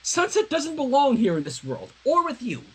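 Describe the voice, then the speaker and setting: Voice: high voice, huge pitch swings, moderate pace, fairly bright tone, slightly rough, voice pushed up, full character voice. A reader voicing a studious, earnest young pony princess in human form, lecturing in a stern and emphatic way for a fan story reading. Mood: stern, insistent.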